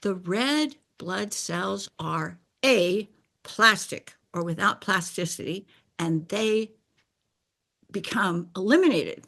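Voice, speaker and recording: An older woman whose first language is Bulgarian, telling a personal story in a deliberate, explanatory way and clearing her throat now and then. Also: mid-range voice, animated, fairly bright tone. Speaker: speaker sharing a personal story. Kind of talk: slow scripted personal narration.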